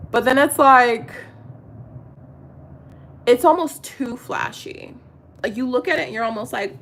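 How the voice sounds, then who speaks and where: A woman's voice: mid-range voice, a bit bright, a little hiss or hum. A middle-aged woman chatting casually and confidingly in a get-ready-with-me vlog, full of fillers and restarts. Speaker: vlogger.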